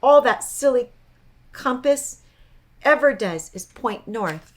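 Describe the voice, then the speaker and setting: Woman acting male blue jay, children's storybook, fairly high voice, boastful, blustering, defensive. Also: lively intonation, slow pace, a little hiss or hum. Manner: bragging, defensive.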